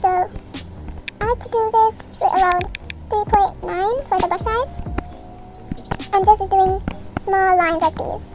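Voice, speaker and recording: Very high-voiced young-sounding woman, casual tutorial. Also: fairly even intonation, takes it slowly, pauses often. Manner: informal, improvised explaining. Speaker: video creator giving a tutorial. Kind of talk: casual step-by-step tutorial voice-over. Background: music.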